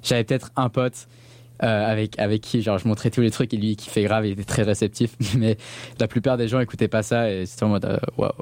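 A man's voice: fairly low pitch, fairly even intonation, a little fast, darkish tone, no room sound. A young man from Belgium, born in northern England, chatting casually as an interview guest in informal, slangy speech mixed with English words. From born in northern England, grew up in Belgium. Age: young adult.

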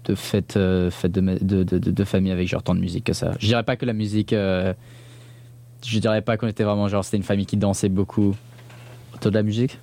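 A man's voice: on the low side, level tone, fast, gravelly, warm tone. A young man from Belgium, born in northern England, chatting casually as an interview guest in informal, slangy speech mixed with English words. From born in northern England, grew up in Belgium. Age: young adult.

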